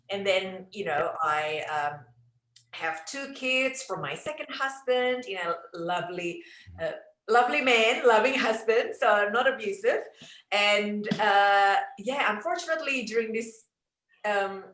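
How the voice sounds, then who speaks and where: A middle-aged woman with an Indonesian accent talks casually as an interview host. Her voice sits at a medium pitch. The room adds a clear echo around her words. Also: a bit bright. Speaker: host, relationship coach. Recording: roomy, echoing sound.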